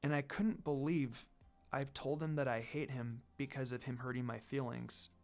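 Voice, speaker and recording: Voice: mid-range voice, steady tone, normal speed, voice pushed down, lightly acted. A reader voicing a sad, defiant young woman from a fan story in an emotional, dramatic way. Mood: sad, regretful.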